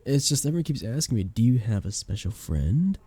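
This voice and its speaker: A young man acting a flustered best friend with a hidden crush in an intimate ASMR romance roleplay, soft-spoken, casual and hesitant. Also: mid-range voice, big pitch swings, a little fast, husky, no room sound. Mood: awkward, evasive.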